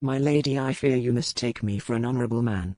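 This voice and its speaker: A woman's voice: extremely low pitch, fast, dark tone, dry recording, voice pushed down. A woman voicing a curt, proud, menacing pirate lord with formal, archaic phrasing in an audiobook. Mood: cold menace. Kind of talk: audiobook character dialogue.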